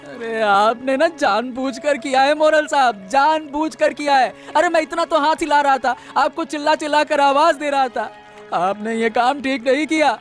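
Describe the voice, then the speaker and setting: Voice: sits high, normal speed, soft background music, lightly acted. A performer voicing a poor male fisherman in a cartoon who wails and pleads. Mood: pleading. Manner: wailing and pleading. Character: poor male fisherman, upset but hopeful.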